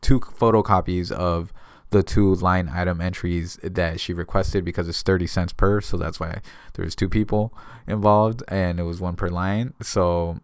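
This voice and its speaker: A man's voice: deep voice, fairly even intonation, a little slow, smooth, dry recording, studio quality. An American man talking casually to viewers as a notary giving advice, explaining things in a conversational way by retelling exchanges. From San Diego area, California. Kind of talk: casual instructional vlog talk.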